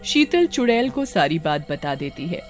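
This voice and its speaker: A woman narrating a scripted children's animated story as the storyteller. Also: deep voice, moderate pace, faint music behind.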